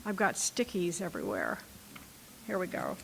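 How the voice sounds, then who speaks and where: A woman's voice: lowish voice, wildly swooping pitch, gravelly, a little hiss or hum, rough recording. A woman poet at a library reading who introduces and reads her own poems in a light voice. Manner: light voice, unhurried and deliberate. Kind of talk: poetry reading. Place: library event room.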